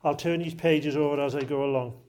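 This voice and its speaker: A man's voice: fairly high pitch, fairly even intonation, brisk, darkish tone. A man with a Welsh accent, originally from South Wales, giving a jokey, chatty public talk to a live audience in a lecture room. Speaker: archive lecturer.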